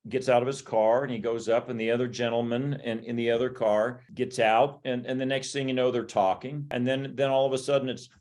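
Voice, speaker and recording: A man's voice: mid-range voice, flat delivery, a little fast, hardly any pauses, darkish tone. A man from California, a podcast guest, telling rambling anecdotes in casual chat, with many restarts and fillers.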